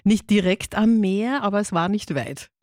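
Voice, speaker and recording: A man's voice: high-pitched, moderate pace, a little mellow, no room sound, clean studio sound. A man hosting a radio interview in a chatty way, often affirming his guest with short replies. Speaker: radio host.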